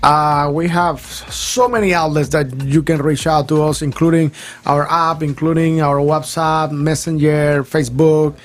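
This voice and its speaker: A man's voice: fairly high pitch, fairly even intonation, a little slow. A man hosting a live bilingual radio talk show in a chatty, informal way. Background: music.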